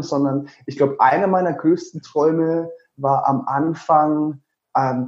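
A man in his thirties with a Franconian German accent, talking as an interview guest in a lively, jokey, rambling way with many fillers. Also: on the high side. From Lower Franconia, Germany. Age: 30s. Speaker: guest, travel speaker. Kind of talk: casual interview talk. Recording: slightly dull lo-fi sound.

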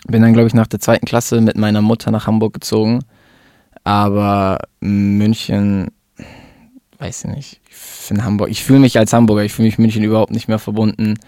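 Young male rapper guest, low flat delivery, relaxed rambling chat, dry close mic. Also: moderate pace, clean tone, dry recording. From Germany. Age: 20s. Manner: relaxed, rambling with fillers and restarts. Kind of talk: casual interview chat.

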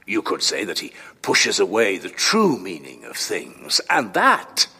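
An actor playing a courteous, long-winded, analytical doctor in a formal, measured audio drama performance. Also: male voice, low voice, wildly swooping pitch, bright, forceful tone, slightly rough, in a large hall, full character voice. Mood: analytical, deliberate. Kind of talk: full-cast audio drama acting.

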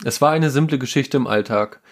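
A man's voice: mid-range voice, brisk. Hesitant man, casual podcast co-host. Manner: hesitant, fills with ähm and genau, then reads aloud. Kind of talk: casual political podcast talk.

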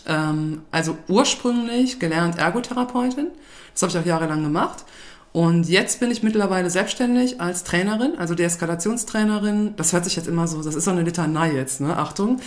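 A woman in her forties speaks in a lowish voice as an interview guest. She talks in a relaxed, chatty way and laughs easily. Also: fairly quick. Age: 40s. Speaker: guest, de-escalation trainer. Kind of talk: casual interview chat.

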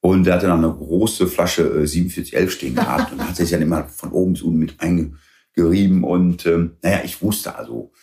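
A middle-aged man, English-born and raised in Germany, telling anecdotes in a relaxed interview, with many fillers and playful quoted voices. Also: deep voice, a little fast. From England. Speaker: guest. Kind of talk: casual interview storytelling.

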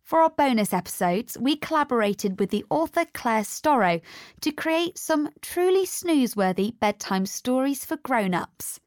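A woman's voice: high-pitched, expressive, normal speed, a little mellow, no room sound, clean studio sound. A woman presenting a scripted welcome as a podcast host.

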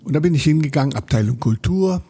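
Gravelly older man. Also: on the high side, expressive, fast talker, warm tone. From Saxony, Germany. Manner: rambling, self-interrupting storyteller with many restarts. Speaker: interviewee, photographer. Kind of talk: recollecting in a conversational interview.

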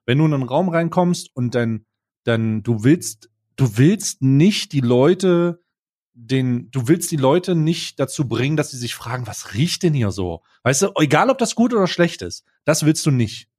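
A man's voice: mid-range voice, expressive, a little slow, a little mellow, slightly rough. A man co-hosting a podcast in casual chat, frank and teasing, often stopping on fillers. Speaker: podcast co-host.

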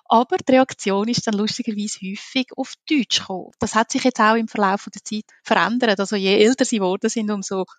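A woman's voice: medium pitch, steady tone, brisk, hardly any pauses, no echo. A woman of Italian origin who hosts a conversational interview and chats in a personal way, often ending with a question tag. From Italy. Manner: chatty, personal, tags remarks with questions. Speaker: podcast host.

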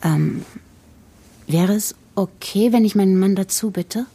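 Controlled, calculating wife. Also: female voice, mid-range voice, dark tone, breathy edge. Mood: solemn, pleading. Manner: measured, polite, articulate. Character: cool, controlled, calculating wife with false sincerity. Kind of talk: German fiction podcast acting.

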